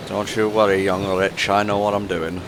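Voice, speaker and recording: A man's voice: on the low side, level tone, normal speed, noisy background. A man casually playing a game, teasing his friends and muttering as he plays. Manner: easygoing, teasing, talks to himself as he plays. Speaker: player. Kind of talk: casual let's-play chat.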